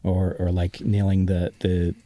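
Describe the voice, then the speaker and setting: A man's voice: low-pitched, level tone, measured pace, no room sound. A man explaining his music in an interview as a composer, thoughtful and searching for words. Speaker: interviewed audio drama composer. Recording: loud synthesizer music behind the voice. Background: loud synthesizer music.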